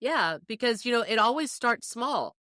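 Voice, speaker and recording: A woman podcast panelist casually discussing a folk tale, telling it in an expressive storytelling way. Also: on the high side, normal speed, no room sound, clean studio sound.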